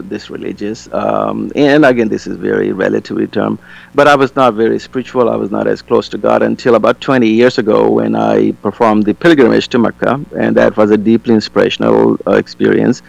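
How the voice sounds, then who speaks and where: A man who is a physician, speaking softly and hesitantly as a guest in a debate. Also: lowish voice, gravelly, dry recording, poor audio. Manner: soft-spoken, hesitant, reflective. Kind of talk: debate discussion.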